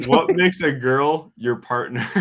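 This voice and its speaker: A man's voice: on the high side, fairly bright tone. A male host asking interview questions in a laid-back, teasing way. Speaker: podcast host.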